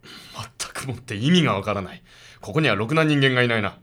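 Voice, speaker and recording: A man's voice: medium pitch, lively intonation, brisk, fairly bright tone, close to an everyday voice. A man playing an arrogant, stiffly formal police detective and father in a radio drama, talking down to people. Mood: curt.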